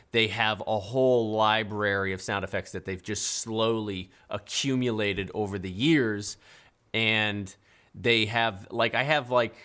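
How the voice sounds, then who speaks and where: A man's voice: on the low side, bright, forceful tone. A man in his thirties hosting a live call-in stream from a dim studio desk, talking loosely with fillers and restarts. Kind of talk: casual live-stream chat.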